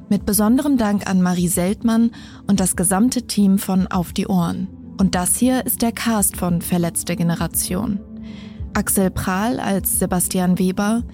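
A woman giving a scripted announcement of podcast credits. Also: medium pitch. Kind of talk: scripted narration. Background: music.

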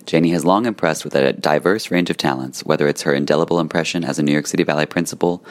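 A man reading a scripted podcast promo in a smooth, even way. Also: extremely low pitch.

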